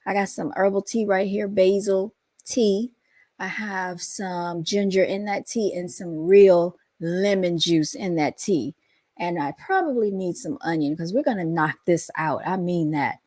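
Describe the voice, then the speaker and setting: A woman's voice: on the low side, darkish tone, dry recording, clean studio sound. A woman hosting her own channel and chatting casually to her viewers, her voice scratchy because she is coming down with something. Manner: chatty, drawn-out, talks straight to viewers. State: scratchy, under the weather. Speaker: host and founder of the channel.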